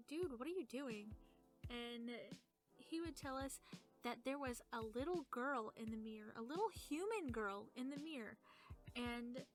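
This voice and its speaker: A woman with a fairly high voice co-hosts a casual storytelling podcast. She speaks informally and chattily, in character as a young demon, with faint music behind her. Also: a bit bright. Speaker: podcast co-host. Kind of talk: casual in-character chat, telling personal stories.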